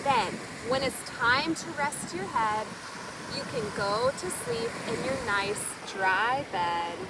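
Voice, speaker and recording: A woman reads a children's story in a high, lively voice with wide swings in pitch. She takes her time and explains things simply for little listeners. The mic sounds a little far from her. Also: slow. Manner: expressive, explains words simply to young listeners. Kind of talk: read-aloud of a children's picture book with asides. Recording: distant mic, noisy surf-like outdoor ambience.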